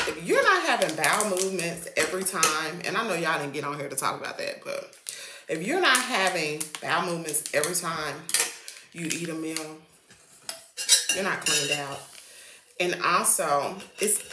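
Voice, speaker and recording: A woman's voice: medium pitch, echoey room. A woman in her forties, talking straight to her phone camera in a small room, chatting with her viewers about the news and plugging a product. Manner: animated, addresses viewers as y'all. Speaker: vlogger. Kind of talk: casual news commentary and product plug. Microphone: phone camera at arm's length.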